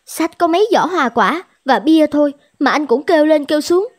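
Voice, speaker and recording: A woman's voice: high-pitched, animated, normal speed. A woman voicing a dissatisfied, nagging young wife in a cartoon drama, with a reproachful way of talking. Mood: exasperated, scolding. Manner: complaining, reproachful.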